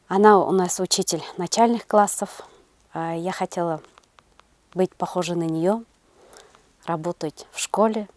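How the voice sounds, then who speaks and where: A middle-aged woman answering interview questions in a measured, halting way, searching for her words as a teacher. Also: on the low side, darkish tone. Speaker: interview guest, senior kindergarten teacher.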